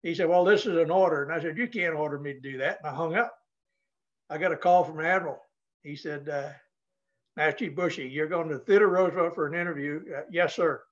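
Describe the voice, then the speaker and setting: Older man, higher-pitched, dull lo-fi sound. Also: fast. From New Hampshire, United States. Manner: folksy, plain-spoken. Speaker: interview guest, retired Navy senior enlisted leader. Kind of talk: casual storytelling.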